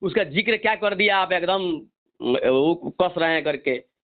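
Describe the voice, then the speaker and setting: A man's voice: high-pitched, a little slow. A man with a Bihari accent, a singer, explaining himself defensively and insistently over the phone, often repeating himself. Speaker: Bhojpuri singer. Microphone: telephone. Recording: narrow muffled phone-call recording.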